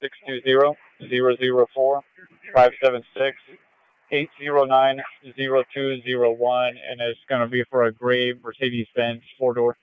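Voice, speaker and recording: A male officer with a medium-pitched, clear voice. He speaks in terse radio phrases through a narrow, tinny police band. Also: completely flat delivery, normal speed, no room sound.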